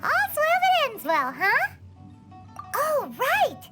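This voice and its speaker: Tiny female game sidekick, extremely high squeaky voice, animated intonation, chirpy, loud music behind. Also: slow pace, exaggerated voice. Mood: chirpy, relieved. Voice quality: squeaky, childlike. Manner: chirpy, refers to herself in third person. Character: small, childlike female fairy companion, chatty and excitable. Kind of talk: video game voice acting. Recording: background music under the voice.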